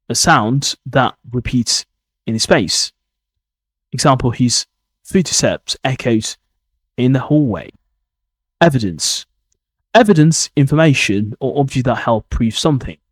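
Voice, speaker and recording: Slow, husky-voiced man teaching English. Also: medium pitch, no echo, studio quality. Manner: deliberate, repeats words and phrases. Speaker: English teacher podcast host. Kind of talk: reading a suspense story and explaining vocabulary for learners.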